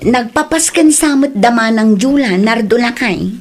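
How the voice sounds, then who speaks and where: High-voiced, hurt Ilocano village wife, acted by a woman. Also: animated, unhurried, soft background music. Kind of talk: Ilocano radio drama acting.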